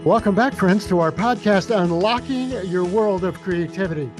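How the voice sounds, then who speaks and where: A man's voice: sits high, moderate pace, over music. A man hosting an interview podcast in a chatty, conversational way. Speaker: podcast host.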